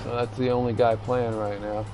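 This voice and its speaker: A man streaming a video game with casual, rambling commentary, haltingly thinking aloud as he reads the menus. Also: mid-range voice, little pitch movement, music in the background.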